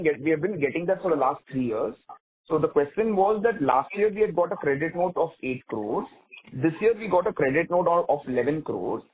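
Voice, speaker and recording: The sound is a thin, narrow telephone conference line. On it, a man with an Indian accent and a fairly high-pitched voice answers questions as a company executive. He explains things step by step, often repeating and rephrasing himself. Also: breathy edge. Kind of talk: earnings call question and answer. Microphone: telephone conference call. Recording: narrow tinny phone line.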